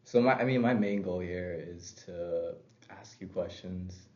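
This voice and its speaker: Man, deep voice, sick-sounding, hesitant sales pitch, dull echoey recording. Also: a little slow, dark tone. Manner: hesitant, many fillers. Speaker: sales representative. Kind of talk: role-play sales pitch.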